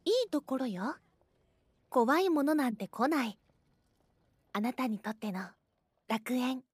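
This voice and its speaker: A gentle, mysterious schoolgirl, acted by a woman, speaks in a high, breathy voice. She is composed and soothing, as in an eerie audio drama, and is recorded close and dry. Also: lively intonation, measured pace, dry recording, heavily acted. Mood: gently enticing.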